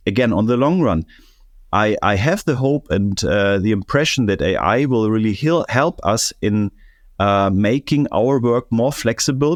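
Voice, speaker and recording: A man's voice: fairly low pitch, dry recording, studio quality. A man answering interview questions as an expert guest, thoughtful and often restarting his phrases. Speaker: guest, air traffic control expert.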